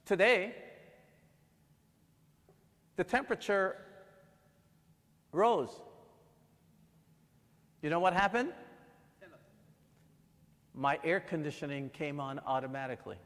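Preacher from Guyana, a man with a higher-set voice, telling his story then building to fervor, heard in a reverberant hall. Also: slow pace, long pauses. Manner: builds from quiet storytelling to loud, fervent emphasis. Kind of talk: sermon.

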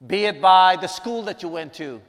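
A man's voice: high voice, normal speed, a bit bright. A man from Guyana preaching a sermon and teaching a lesson, emphatic, repeating key lines for weight. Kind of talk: sermon with prayer. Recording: long reverb in a large hall.

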